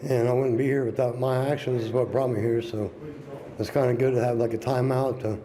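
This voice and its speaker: A man's voice: medium pitch, little pitch movement, fairly quick, crowd noise. A man being interviewed as an inmate, speaking casually in plain colloquial words.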